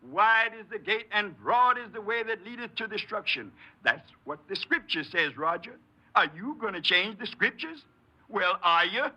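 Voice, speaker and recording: A man's voice: sits high, sing-song, normal speed, pushed and bright, slight background noise, full character voice. An actor playing a stern, self-righteous older American father who preaches scripture in a 1950s radio drama. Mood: accusing, preachy. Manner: preachy, reproachful, declamatory. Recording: dull lo-fi old radio broadcast.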